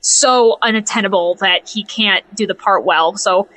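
A woman co-hosting a podcast in casual chat, talking in an animated, exclamatory way with emphatic repetition. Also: mid-range voice, moderate pace, rough recording. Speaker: podcast co-host. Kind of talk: casual chat about pop culture.

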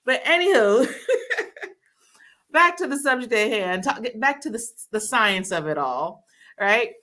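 A woman's voice: a little high, expressive, slow pace, bright, forceful tone. A woman hosting a casual interview, chatty and laughing often, who spoke Korean first as a child. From raised in a military family. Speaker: host.

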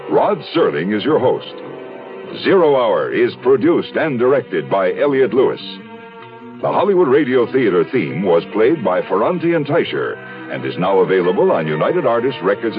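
A man's voice: lowish voice, sing-song, normal speed, soft background music. A man announcing scripted credits in the style of 1970s American radio. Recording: dull 1970s radio recording.

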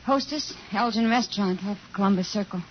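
A woman's voice: mid-range voice, a little fast, close to an everyday voice. A woman playing a jaded, worldly restaurant hostess in a 1950s radio drama, wry and matter-of-fact. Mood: wry, wistful. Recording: dull, faintly noisy 1950s radio recording.

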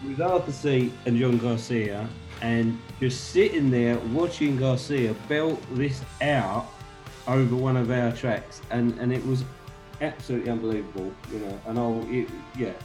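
English musician telling band stories. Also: male voice, medium pitch, moderate pace, soft background music, rough recording. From England. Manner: animated anecdotes, quotes others. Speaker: guest band member. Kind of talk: casual interview storytelling.

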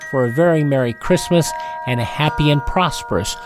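A man's voice: fairly high pitch, brisk, loud music behind the voice. A man presenting a radio show conversationally, with genial, courteous, old-fashioned phrasing.

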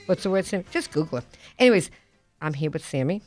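A woman's voice: on the low side, animated, quick pace, darkish tone, faint music behind. A woman, hosting a casual radio talk show in a blunt, emphatic way and often repeating herself. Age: 50s. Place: radio studio.

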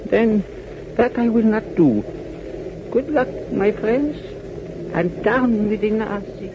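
An actor playing a frail, proud old European man, halting and solemn, in 1940s radio drama style. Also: high-pitched. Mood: loyal. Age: older. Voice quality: creaky. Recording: muffled, hissy 1940s radio recording.

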